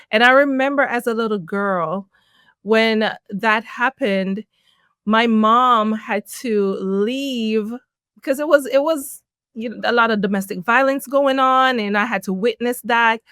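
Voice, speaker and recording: Middle-aged woman from jamaica, kinda high voice, animated storytelling, dry close sound. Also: a little slow, clear, resonant tone, no room sound. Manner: animated, laughs often, voice rises on emphasis. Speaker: podcast guest. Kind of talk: personal storytelling in an interview.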